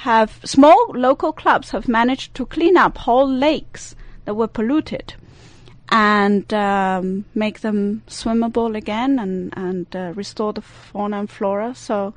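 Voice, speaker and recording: A woman's voice: mid-range voice, slow, no room sound. Swiss woman with an Italian-tinged accent. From Italian-speaking Switzerland. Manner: hesitant, searching for words with many fillers. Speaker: radio guest. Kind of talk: radio interview. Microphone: studio microphone.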